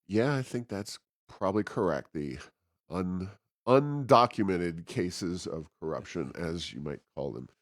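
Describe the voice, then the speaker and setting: A man hosting a policy talk show, giving unscripted commentary in a measured, somewhat halting way. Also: sits low, animated, no room sound, clean studio sound.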